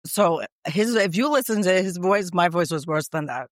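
Podcast guest: middle-aged woman, raspy and strained, emphatic and repetitive, close and dry. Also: lowish voice, animated, normal speed, dry recording. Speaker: guest, speech pathologist. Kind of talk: casual podcast interview.

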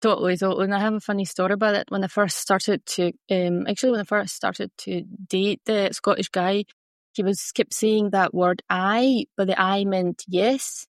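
A woman's voice: medium pitch, steady tone, normal speed, no room sound, studio quality. A woman from Russia, a native Russian speaker with a Glaswegian accent, chatting casually and telling personal stories as an interview guest. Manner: chatty, laughs often while talking.

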